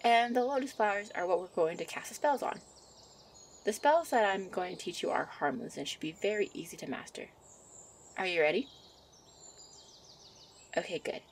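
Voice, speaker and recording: Shy fairy sweetheart played by a woman for ASMR roleplay: soft, teasing, flustered, medium pitch, birds and ambient noise behind. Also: moderate pace, pauses often, close to an everyday voice. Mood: gentle, instructive. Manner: soft, coy, easily flustered. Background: birdsong and outdoor ambience.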